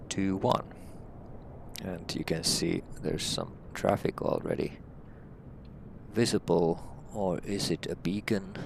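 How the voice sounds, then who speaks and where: A man's voice: sits low, creaky, background noise. A middle-aged man from Finland with a Finnish accent, a helicopter pilot talking through a flight sim session with many fillers. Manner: hesitant, many fillers, plain and friendly. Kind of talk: casual flight-sim walkthrough.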